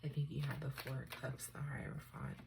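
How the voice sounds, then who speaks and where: A woman with a stuffed-up cold, doing a tarot reading in a soft, often half-whispered, musing way. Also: rumbling low, flat delivery, fairly quick, vocal fry, slight background noise. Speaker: tarot reader. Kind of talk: unscripted tarot reading for viewers.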